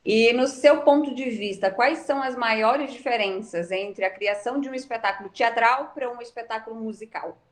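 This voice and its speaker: Fairly high Brazilian woman interviewer. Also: moderate pace, hardly any pauses. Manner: long hesitant questions with fillers. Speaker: interviewer, theatre teacher. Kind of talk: online interview questions. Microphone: video call.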